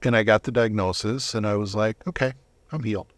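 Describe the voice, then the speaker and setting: A middle-aged man giving a conversational personal testimony as an interview guest, reflective and halting, often rephrasing himself. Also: fairly low pitch.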